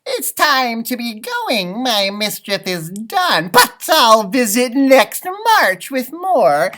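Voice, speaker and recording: Man putting on a high, gleeful little leprechaun trickster. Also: big pitch swings, slow, sharp tone, exaggerated voice. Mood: gleeful, mischievous. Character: small sneaky rascally male leprechaun, gleeful trickster.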